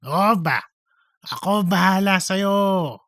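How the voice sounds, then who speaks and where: A woman's voice: medium pitch, slow pace, fairly bright tone, no room sound, studio quality. A woman voicing a careless, sweet-talking male office womanizer in a comedic audiobook. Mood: breezy, coaxing. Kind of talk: comedic audiobook character acting.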